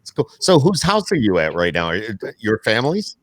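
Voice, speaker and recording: A man's voice: lowish voice, huge pitch swings, brisk, no echo. An American man hosting a podcast, chatting casually and putting questions to a guest. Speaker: podcast host. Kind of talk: casual interview chat.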